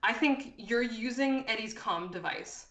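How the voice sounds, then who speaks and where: A woman's voice: fairly high pitch, measured pace, a bit bright. A woman running a tabletop role-playing game as game master, improvising narration for the players. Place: indoor room, live online stream. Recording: roomy, echoey sound.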